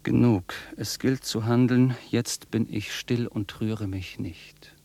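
A man's voice: lowish voice, steady tone, takes it slowly, creaky, mellow, no echo, heavily acted. An actor playing an obsessive, defiant, imperious rich man in a German radio drama, declaiming and repeating his commands. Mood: bitter, brooding.

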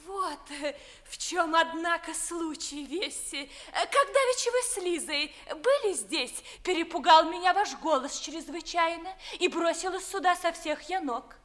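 A woman's voice: very high-pitched, expressive, unhurried, hardly any pauses, a bit bright, exaggerated voice. A young woman playing a well-born, evasive daughter in a 1950s theatrical radio play, speaking verse in an affected, declamatory way.

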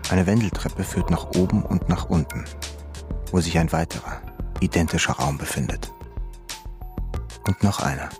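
A man narrating an eerie German radio drama in a deliberate, sparse and brooding manner. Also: low-pitched, over music. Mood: sombre, eerie. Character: sombre male narrator of an eerie crime drama.

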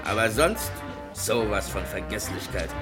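An actor playing a grumbling, colloquial long-haul truck driver in a 1950s German radio crime drama. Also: male voice, fairly low pitch, expressive, a little slow, over music. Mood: matter-of-fact.

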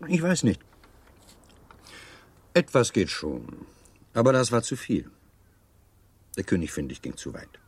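An actor in a German radio play playing a gallant, teasing seducer who tells stories in a suave, theatrical way. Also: male voice, mid-range voice, wildly swooping pitch, unhurried, pauses often, breathy edge, full character voice. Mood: suave, dramatic storytelling. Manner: theatrical, suave, savouring storytelling.

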